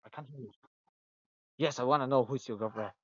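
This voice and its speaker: A young man with a Russian accent, hosting a casual joking chat on a voice call, stammering and excitable. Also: medium pitch, fairly quick, slightly rough, no room sound, muffled recording. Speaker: channel host. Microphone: phone on a voice call.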